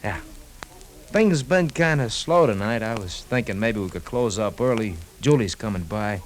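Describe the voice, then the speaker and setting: An actor playing a chatty, nervous, loyal American barkeep in a 1950s radio drama, talking in a folksy, hesitant and excitable way. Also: male voice, lowish voice, moderate pace, close to an everyday voice. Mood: tentative, friendly. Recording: old radio broadcast with music.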